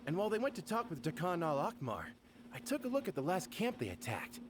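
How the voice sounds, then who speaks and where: An actor playing an eager young male mercenary scout in a game, talking quick and lively. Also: high-pitched, noisy background. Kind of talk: game voice acting.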